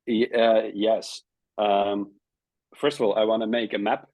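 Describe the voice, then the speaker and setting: Dutch man, lowish voice, dry close sound, halting interview guest. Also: little pitch movement, darkish tone, no echo. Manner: hesitant, repeats words while searching for phrasing. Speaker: guest, travel video creator. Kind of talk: casual interview talk.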